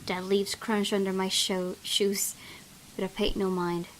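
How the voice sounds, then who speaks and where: A woman's voice: mid-range voice, measured pace, slight background noise, poor audio. A woman hosting a gaming video, reading a visual novel aloud dramatically and reacting with open emotion. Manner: expressive, exclaiming at the game.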